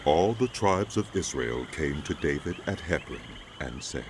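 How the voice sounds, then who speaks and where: A man's voice: rumbling low, animated, unhurried, noisy background. A man narrating a dramatized scripture as scripted storytelling.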